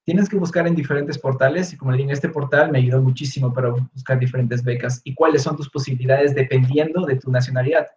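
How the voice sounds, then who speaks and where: A young man with a Peruvian Spanish accent, relaxed and explanatory, answering questions in an online talk with many fillers and restarts. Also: medium pitch, fast talker, few pauses. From Peru. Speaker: guest speaker, researcher. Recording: echoey room, dull lo-fi audio.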